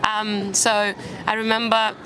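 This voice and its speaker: Young woman from Spain, British accent, relaxed guest chat, outdoor park recording with traffic noise. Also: medium pitch, slow pace. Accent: British English. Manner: chatty, laughs easily, self-deprecating. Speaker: interview guest. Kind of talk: casual chat.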